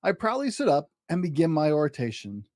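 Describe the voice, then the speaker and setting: Guy doing a boastful excitable 8 yr old boy for a rhyming kids book, super swoopy delivery, soft-edged mellow tone. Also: fairly high pitch, fairly quick, dry recording, studio quality. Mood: excited. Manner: excitable, grandiose, theatrical. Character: exuberant, boastful eight-year-old schoolboy with big dreams. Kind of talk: animated rhyming picture-book storytelling.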